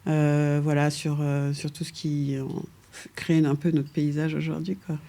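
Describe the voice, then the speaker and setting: A middle-aged woman who grew up in Paris, talking as a guest in a relaxed interview, often pausing on fillers and restarting her sentences. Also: low-pitched. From France, raised in Paris from age two. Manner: hesitant, many fillers and restarts, laughs lightly. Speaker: guest architect. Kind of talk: casual conversational interview.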